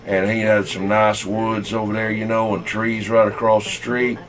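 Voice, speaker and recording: Low-voiced Southern man rambling on CB radio. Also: flat delivery, a crowd in the background, rough recording. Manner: folksy, halting, rambling. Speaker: CB radio operator. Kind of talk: casual rambling storytelling over CB radio.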